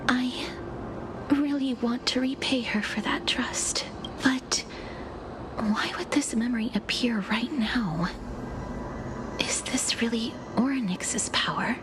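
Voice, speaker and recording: A woman playing a solemn, gentle young maiden cursed with death in a game, speaking softly and formally with hesitant pauses. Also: on the high side, noisy background. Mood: wistful. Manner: soft, breathy, slow, formal and hesitant. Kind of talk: scripted game voice acting. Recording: game audio with music behind.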